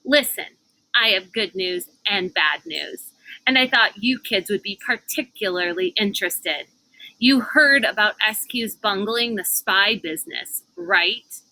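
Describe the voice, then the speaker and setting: Woman reading as a smug, bright-voiced bully girl. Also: medium pitch, lively intonation, a little slow, no echo, low-quality recording. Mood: smug, gloating. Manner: gloating, taunting. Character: smug, pushy older girl who bullies younger children. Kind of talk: storybook character voice.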